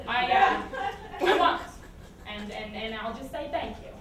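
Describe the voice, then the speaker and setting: A woman's voice: a little high, animated, measured pace, crowd noise. An actress playing a guarded, neurotic therapy patient in a comic stage play, flustered and stammering as she talks. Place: stage performance at a college, distant microphone. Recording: echoey hall from far off, noticeable noise.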